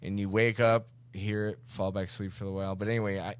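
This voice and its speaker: A man's voice: lowish voice, level tone, quick pace, clear, resonant tone. A young American man who stutters on some words, co-hosting a comedy podcast with crude, joking, slangy storytelling. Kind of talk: casual comedic banter and storytelling. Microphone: podcast microphone.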